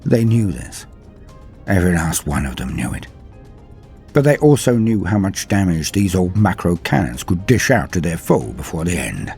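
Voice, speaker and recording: Low, mellow man narrating a grave war story. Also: very animated, moderate pace, creaky. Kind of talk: dramatic scripted storytelling narration. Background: music under the voice.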